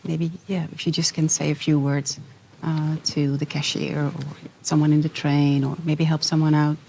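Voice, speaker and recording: A woman's voice: low-pitched, flat delivery, moderate pace, gravelly, mellow, a little hiss or hum, rough recording. A woman from student support explaining things in a friendly, informative panel chat. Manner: explanatory, friendly, corrects herself.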